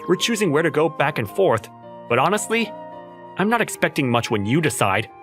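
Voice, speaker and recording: A man's voice: on the high side, very animated, brisk, fairly bright tone, faint music behind. A young man playing a nervous, teasing student in love in a manga dub, talking in a conversational, slightly awkward way. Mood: casual, teasing. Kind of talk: manga dub acting with first-person narration.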